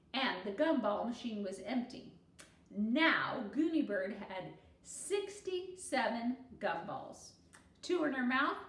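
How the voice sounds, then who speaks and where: A woman's voice: a little high, fairly bright tone, recorded from a distance, exaggerated voice. A woman voicing a confident, theatrical little schoolgirl who tells stories with bossy, exasperated emphasis. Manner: theatrical, emphatic, self-assured. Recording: roomy echo, faint background noise.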